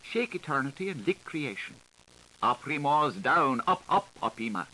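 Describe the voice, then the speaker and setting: A man reading literary prose aloud as an audiobook narrator, in a playful, declamatory, theatrical way with deliberate phrasing, on a 1980s recording. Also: medium pitch, sing-song, pushed and bright, rough recording.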